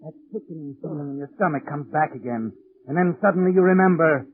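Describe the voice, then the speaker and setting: An actor playing a sinister, knowing narrator in a 1940s radio thriller, speaking in an insinuating, dramatic manner. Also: male voice, on the high side, fast, slight background noise, lightly acted. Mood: ominous. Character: sinister, knowing narrator taunting the guilty man. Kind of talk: 1940s radio suspense narration in second person. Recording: tinny 1940s radio recording with music.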